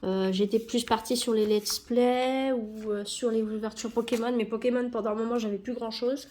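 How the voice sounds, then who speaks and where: A woman's voice: a little high, fairly even intonation, moderate pace, a little mellow. A young woman with a cold, chatting casually and correcting herself with many fillers as she sticks stickers into an album. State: has a cold. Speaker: YouTuber opening sticker packs.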